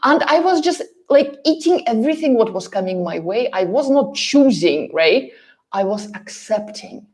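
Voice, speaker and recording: A woman's voice: fairly high pitch, lively intonation, normal speed, darkish tone. Emphatic Russian-accented woman coaching. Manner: emphatic, repetitive, addresses listeners directly. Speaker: relocation coach and course host. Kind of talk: motivational coaching talk to camera.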